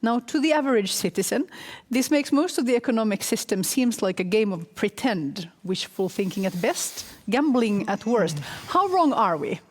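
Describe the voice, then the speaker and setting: A woman's voice: on the high side, very animated, moderate pace. Poised woman moderating a panel.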